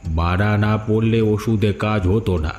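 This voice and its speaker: Casting: male actor for stern fairy-tale sage, low voice, solemn prophecies, cartoon, music underneath. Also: measured pace, a little mellow, close to an everyday voice. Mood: solemn, instructing. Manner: solemn, pronouncing warnings and fate. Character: all-knowing, stern village holy man of fairy tale. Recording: echoing voice over background music.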